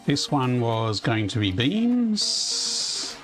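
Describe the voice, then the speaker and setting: A man with a naturally loud voice, talking in an easy, rambling way while he plays a building game live. His pitch sits at an ordinary middle level, and soft music plays faintly behind him. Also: very animated, drawn-out words. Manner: rambling, chatty, reads chat aloud. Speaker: game streamer. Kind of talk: casual live-stream chat while playing.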